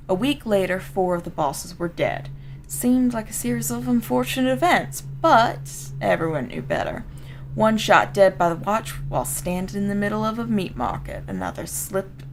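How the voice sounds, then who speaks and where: A woman's voice: lowish voice, creaky, slight background noise. A woman voicing a folksy, streetwise street-gang member telling an old story, with a Southern US accent. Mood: streetwise, wry. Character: rough street-gang storyteller, plain-spoken old comrade of a boss. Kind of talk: dramatic first-person story reading.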